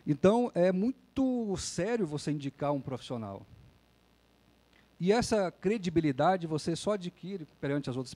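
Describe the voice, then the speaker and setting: A man's voice: fairly high pitch, mellow. A man, a lawyer, giving a conversational public lecture and often tagging sentences with a questioning "né?". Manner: conversational, frequent "né?" tag questions.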